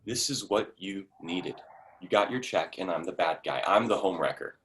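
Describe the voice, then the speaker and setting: Male actor as volatile lover, low voice, very animated pitch, sarcastic, emotional stage drama. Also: moderate pace, slight background noise. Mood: bitter, confrontational. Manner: emotional, sarcastic, stammers when worked up. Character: volatile, bitter man caught in a love affair. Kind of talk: dramatic acting in a staged play reading.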